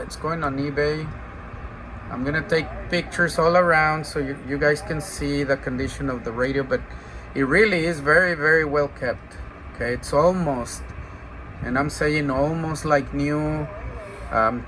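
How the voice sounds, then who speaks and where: A man giving a casual, hands-on demo of gear he is selling, talking haltingly as he thinks aloud. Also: on the high side. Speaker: radio seller demonstrating gear. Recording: clear background noise, steady hum.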